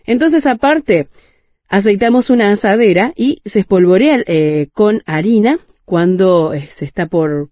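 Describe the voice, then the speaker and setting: A woman walks listeners through a recipe step by step in a chatty, informal way. Her voice sits at a middling pitch and sounds dry and slightly muffled. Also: no room sound. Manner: chatty, explains step by step with fillers. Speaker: radio co-host. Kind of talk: casual recipe explanation on radio. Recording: muffled, dry radio studio.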